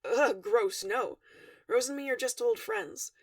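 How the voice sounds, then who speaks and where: Girl doing a cool cocky troll dude in a story, slangy n nonchalant, creaky. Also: on the high side, measured pace, no echo, studio quality, distant mic. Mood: dry, dismissive. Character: cool, cocky, laid-back young male troll.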